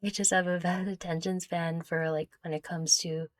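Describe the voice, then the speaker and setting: A young woman with a fairly low voice speaks close to the mic in a very dry space. She hosts a casual Q&A, wandering through her answers in a giggly, self-deprecating manner. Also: little pitch movement, normal speed, no echo. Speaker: content creator answering viewer questions. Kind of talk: casual Q&A chat.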